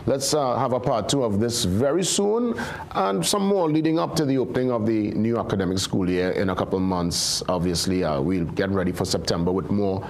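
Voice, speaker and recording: Male TV host, low gravelly voice, loose filler-heavy delivery. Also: expressive, fairly quick, slight background noise. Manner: easygoing, filler-heavy wrap-ups. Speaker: morning show host. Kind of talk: live TV talk show hosting.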